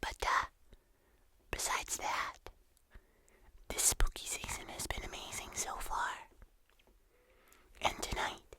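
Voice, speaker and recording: An ASMR host chatting casually to viewers in a soft, unhurried whisper with drawn-out phrases. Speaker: host of an ASMR video channel.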